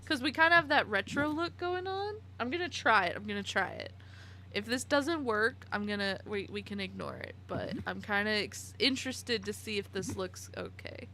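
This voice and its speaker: A woman's voice: medium pitch, animated, moderate pace, sharp tone, a little hiss or hum. A woman in her late twenties chatting casually on a live stream while she plays, giggly and talkative, answering her chat by name. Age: 20s.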